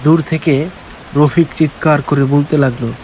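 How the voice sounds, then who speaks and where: A man's voice: a little high, fairly even intonation, moderate pace, darkish tone. A man narrating a scripted folk tale as storyteller. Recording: faint hiss and rain noise.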